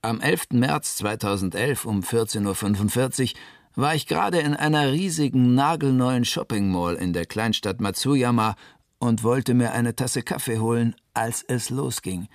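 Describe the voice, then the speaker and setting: A man's voice: mid-range voice, animated, normal speed, dry recording, close to an everyday voice. Man playing a middle-aged musician, dry studio sound. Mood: reflective. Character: middle-aged musician recounting a disaster, reflective. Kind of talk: scripted radio play monologue.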